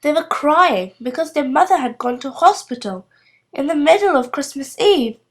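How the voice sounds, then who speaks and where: Female storybook narrator, high-pitched, highly animated intonation, reading for children. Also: normal speed. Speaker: storybook reader.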